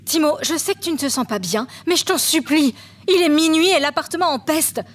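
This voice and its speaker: A young actress playing a weary, hurt student in an audio drama. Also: female voice, high-pitched, lively intonation, fairly quick, a little hiss or hum. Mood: exasperated. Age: young adult. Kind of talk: French audio drama acting.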